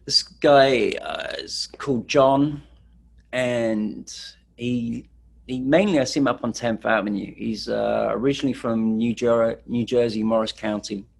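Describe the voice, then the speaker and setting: Guy rambling about street photos, kinda gravelly voice, lots of ums and likes. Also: medium pitch, measured pace. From England. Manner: chatty, rambling with fillers. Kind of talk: casual presentation.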